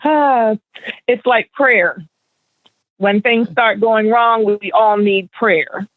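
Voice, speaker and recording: Middle-aged woman from Barbados, relaxed storyteller, heard over a narrow phone-like line. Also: on the high side, takes it slowly, no echo. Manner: relaxed, laughing, storytelling with fillers. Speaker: guest, spoken word event organizer. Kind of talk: radio interview answers.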